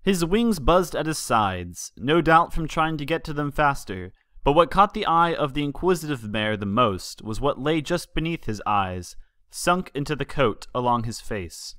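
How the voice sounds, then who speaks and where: A man's voice: fairly high pitch, sing-song, normal speed, fairly bright tone, no echo, clean studio sound. A man reading a story aloud as scripted narration with dramatic delivery. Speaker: fan fiction reader.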